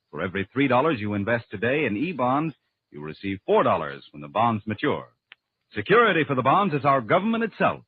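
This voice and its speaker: A man's voice: mid-range voice, slightly rough. A man doing dramatic, emphatic scripted announcing and narration in a 1940s radio style. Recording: tinny narrow-band old radio broadcast.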